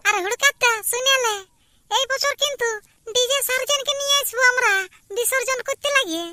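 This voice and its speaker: A man voicing a stubborn little village boy for an amateur cartoon, with a Purulia Bengali accent and a pitched-up voice. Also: moderate pace, sharp tone, no echo, exaggerated voice. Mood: eager, chatty. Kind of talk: amateur cartoon comedy dialogue.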